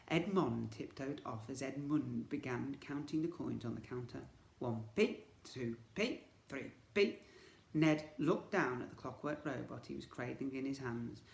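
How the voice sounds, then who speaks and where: A man reading a children's story as a teacher would, with dramatic, expressive narration. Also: medium pitch, gravelly. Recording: echoey room.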